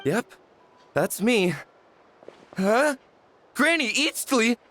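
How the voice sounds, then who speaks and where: Humble, nervous game villager. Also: male voice, very high voice, sing-song, very slow, a bit bright, slight background noise, exaggerated voice. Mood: startled, apologetic. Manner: apologetic, polite, self-deprecating. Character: humble, nervous adult male villager from a lapsed shaman family. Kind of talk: English video game voice acting. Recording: faint background music.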